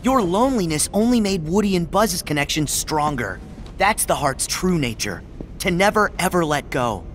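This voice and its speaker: Casting: loyal teen boy hero for a game, high lively voice, heartfelt, emphatic. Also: normal speed, faint music behind. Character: earnest, spirited teenage boy hero, loyal to friends. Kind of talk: animated video game acting.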